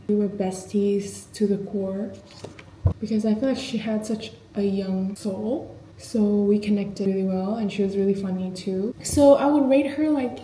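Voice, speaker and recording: A woman's voice: mid-range voice, mellow, echoey room, slight background noise, low-quality recording. A woman in her twenties talking in a reflective, confiding, chatty way in a personal diary vlog. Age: 20s. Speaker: vlogger.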